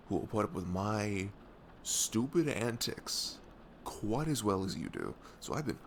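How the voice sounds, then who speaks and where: Man playing a low-voiced, rambling nerdy best friend. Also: creaky, a little hiss or hum, full character voice. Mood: affectionate, eager. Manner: rambling, hesitant, self-deprecating, chuckling, informal. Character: nerdy male best friend, young, affectionate, excitable gamer. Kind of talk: intimate ASMR roleplay, casual rambling monologue.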